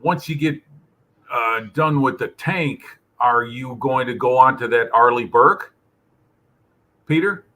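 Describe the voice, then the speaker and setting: A man's voice: mid-range voice, takes it slowly, long pauses, sharp tone. A man chatting on a casual live stream call, friendly and full of questions, greeting others as they join. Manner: friendly, asks questions, greets people joining.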